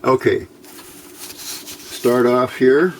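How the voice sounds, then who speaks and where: Male hobbyist instructor, casual and very unhurried step-by-step delivery, faint noise behind the voice. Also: mid-range voice, steady tone. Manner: unhurried, thinking aloud. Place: indoors at a workbench by a window.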